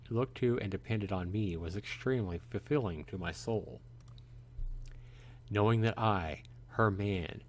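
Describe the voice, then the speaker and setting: A man reading a visual novel aloud as narrator, with earnest, measured, dramatic phrasing. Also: deep voice.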